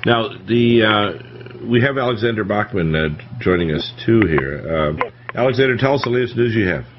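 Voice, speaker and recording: Deep-voiced male radio host. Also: animated, moderate pace. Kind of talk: conversational panel discussion with technical explanations. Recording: dull lo-fi radio audio, faint noise.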